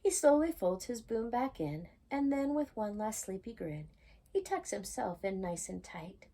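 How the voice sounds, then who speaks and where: A woman reading a children's bedtime picture book aloud in a gentle, singsong way. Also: medium pitch, animated, unhurried. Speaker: children's storytime reader.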